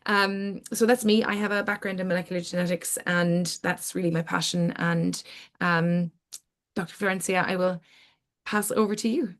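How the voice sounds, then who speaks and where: A middle-aged woman with a slightly low voice presents an online webinar as its host. She speaks informally, explaining in long sentences that build up lists of points. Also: steady tone, moderate pace, fairly bright tone. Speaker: webinar host, academic scientist.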